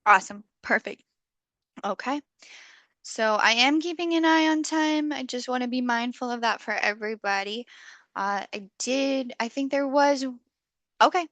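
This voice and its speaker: Woman, meeting host, slightly hoarse, bright forceful tone, slightly higher voice. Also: moderate pace, dry recording, lo-fi sound. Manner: friendly facilitator, many okays. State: slightly hoarse, losing her voice. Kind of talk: online community call. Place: video call.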